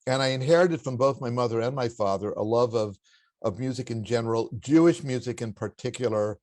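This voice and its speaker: An older man with a baritone voice, a guest in an interview, telling family stories in a reflective, anecdotal way with occasional chuckles. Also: fairly quick, no echo. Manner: warm anecdotal storytelling with chuckles. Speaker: interview guest, spiritual teacher.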